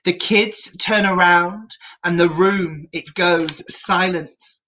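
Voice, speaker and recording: A woman reading a picture book aloud to children as a storyteller, in an animated, encouraging and theatrical manner. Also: fairly low pitch, slow pace, slight background noise, rough recording.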